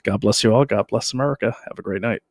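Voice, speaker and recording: A man's voice: on the low side, fast talker, gravelly, no room sound, clean studio sound. A tired male host signing off to his viewers in a rambling, conversational way. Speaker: show host. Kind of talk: casual sign-off to viewers.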